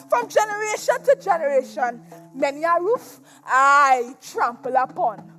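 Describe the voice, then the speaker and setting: High-pitched, anguished Trinidadian chained spirit played by a woman. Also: lively intonation, pushed and bright, full character voice. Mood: wry, knowing. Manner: theatrical, anguished, deliberate, drawn-out delivery. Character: embittered chained former slave turned restless lagahu spirit, preaching warnings. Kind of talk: dramatic spoken-word stage monologue in rhyme. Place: stage at a competition in a performance hall. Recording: live stage performance with music.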